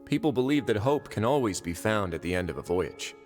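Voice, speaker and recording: An actor playing a composed, wry duke who runs a fortress in a video game. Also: male voice, lowish voice, animated, brisk, natural voice. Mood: storytelling. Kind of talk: scripted video game dialogue. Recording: long reverb, game music behind.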